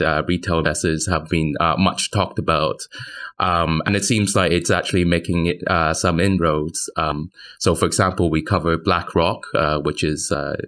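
A man speaking as a financial journalist in a conversational industry discussion, halting and matter-of-fact. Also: low voice, a bit bright. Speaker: financial journalist co-hosting a podcast.

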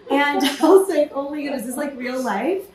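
A woman in her thirties, a guest in a podcast interview, who talks in an animated way, laughs often and acts out her own quotes. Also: high voice, a little fast. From United States. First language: Thai. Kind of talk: casual interview talk. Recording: echoey room, faint noise.

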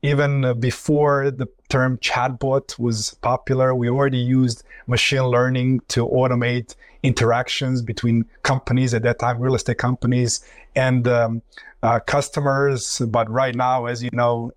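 A man's voice: mid-range voice, no room sound, studio quality. A man from Croatia with a Croatian accent, speaking as a company chief and guest in a conversational interview. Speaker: company CEO, podcast guest.

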